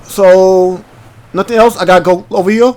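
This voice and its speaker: High-voiced man doing casual game commentary. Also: steady tone, normal speed, darkish tone. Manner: chatty, rambling, reacting aloud. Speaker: gaming channel host. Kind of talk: casual let's-play commentary.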